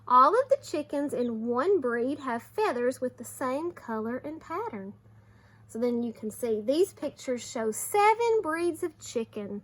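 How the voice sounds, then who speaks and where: A woman teacher reading aloud to young children, expressive, with simple explanations along the way. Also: sits high.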